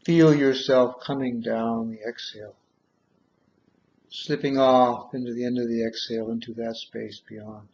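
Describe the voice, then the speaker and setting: A man guiding a meditation in measured short phrases with long pauses, on a dull, muffled recording. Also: mid-range voice, little pitch movement, darkish tone, a little hiss or hum. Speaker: guided meditation leader. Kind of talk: guided meditation, slow soothing instruction.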